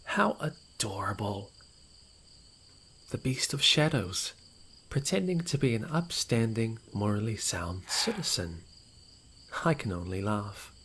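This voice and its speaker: A man's voice: on the low side, lively intonation, slow pace, long pauses, a bit bright, heavily acted. A man playing a mocking, theatrical villain in a fantasy audio drama, sardonic and mock-courteous. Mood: mocking, flattering. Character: mocking, theatrical male villain, suavely amused rival. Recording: noisy night ambience with insects.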